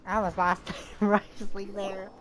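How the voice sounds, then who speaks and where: A woman's voice: mid-range voice, level tone, measured pace, background noise. A woman giving casual gameplay commentary, muttering to herself as she plays. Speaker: video game streamer. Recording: loud game music behind.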